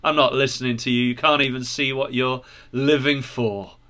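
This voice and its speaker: A man's voice: medium pitch, level tone, normal speed, bright, forceful tone, lightly acted. A man acting a stubborn, scornful neighbor in a storybook tale, bluntly dismissing any invitation to go along.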